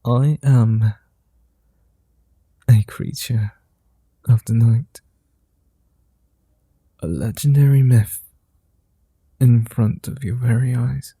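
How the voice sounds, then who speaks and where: Man as a suave male vampire, very dark soft tone, slow unhurried delivery, courtly, flirty, gentle, ASMR roleplay. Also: mid-range voice, slightly rough, dry recording. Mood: smooth, seductive. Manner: courtly formal wording, unhurried pauses, teasing. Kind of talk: ASMR roleplay, softly acted monologue.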